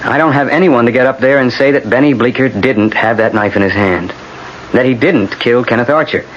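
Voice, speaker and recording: An actor playing a weary, sardonic defense lawyer in a radio drama. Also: male voice, medium pitch, brisk, close to an everyday voice. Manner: sardonic, glum. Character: weary, wry male defense lawyer expecting defeat. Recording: muffled, noisy old radio broadcast.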